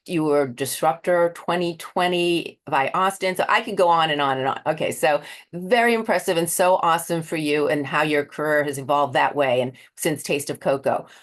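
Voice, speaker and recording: A woman's voice: low-pitched, normal speed, few pauses. An American woman hosting a conversational interview in a chatty, rambling way. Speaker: interview host.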